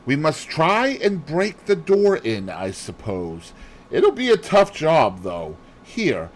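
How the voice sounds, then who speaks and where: A man voicing a brisk, decisive gentleman from a mystery novel, with an urgent, commanding manner. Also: a little high, a little hiss or hum.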